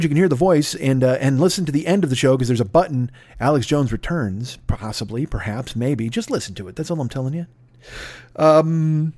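A man's voice: on the high side, no echo, studio quality. A man hosting a podcast, delivering a profane, sarcastic comedic rant. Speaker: podcast host.

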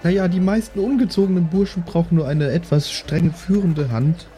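A man voicing a prim, kindly but strict lady adventurer in an improvised roleplay audio drama, genteel and gently scolding. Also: high voice, moderate pace, faint music behind, lightly acted. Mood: prim, musing.

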